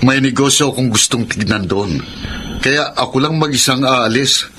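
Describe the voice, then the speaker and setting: Man playing a cocky, deceitful husband, mid-pitched. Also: normal speed, sharp tone, lightly acted. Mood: irritated, dismissive. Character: brusque, deceitful cheating husband. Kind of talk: radio drama acting. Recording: clear background noise.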